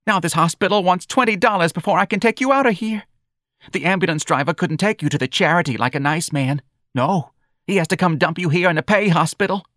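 A man's voice: a little high, fairly bright tone, no echo, studio quality, voice pushed up, lightly acted. A man voicing a weepy, exasperated working-class Southern mother in an audiobook, scolding plaintively in ungrammatical speech. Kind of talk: audiobook character dialogue.

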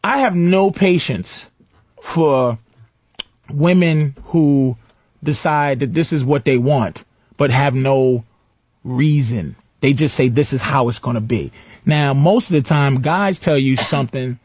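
A man's voice: mid-range voice, slow pace, darkish tone, no echo, highs cut off. A man hosting a radio show, blunt and teasing, swearing freely in casual talk. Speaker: radio host.

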